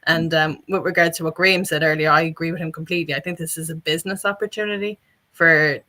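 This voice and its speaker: A woman gives her expert view in a panel discussion. Her voice is strikingly bright and forceful. She speaks unscripted, working through her points in an earnest, explanatory manner. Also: low-pitched, steady tone, fast.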